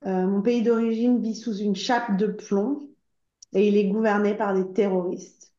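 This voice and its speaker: A woman from Iran addresses a conference audience with earnest urgency, pleading for action between frequent hesitant fillers. Her voice is mellow and dark, of middle pitch. The room is roomy and echoing, and the recording sounds slightly dull and muffled. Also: fairly even intonation, a little slow. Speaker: association spokeswoman speaking at a conference. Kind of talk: impassioned conference speech.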